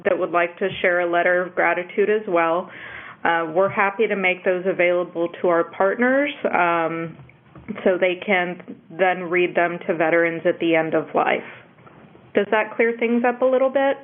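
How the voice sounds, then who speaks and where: A woman's voice: lowish voice, moderate pace. Lady hosting a conference call, phone sound, thin and narrow. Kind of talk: moderating a networking conference call. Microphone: telephone call.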